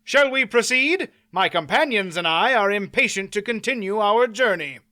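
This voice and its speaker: A man voicing a confident, courtly knight who speaks in formal archaic phrasing, loud and ringing. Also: high voice, expressive, a little slow, clear, resonant tone, no room sound, studio quality, pitched above the performer's own voice, heavily acted. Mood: cheerful. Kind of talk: audiobook character voice.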